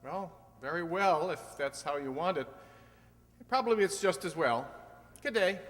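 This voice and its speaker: A man's voice: on the high side, animated, moderate pace, a bit bright. A man playing a stuffy, wounded bridegroom in a radio play, stiff and indignant. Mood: hurt, indignant. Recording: stage hall reverb.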